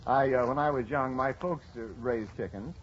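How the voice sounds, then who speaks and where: A man's voice: mid-range voice, normal speed. A man co-hosting a 1940s radio quiz show, bantering in a jokey, folksy way. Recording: muffled, noisy old radio broadcast with audience. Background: studio audience and noise.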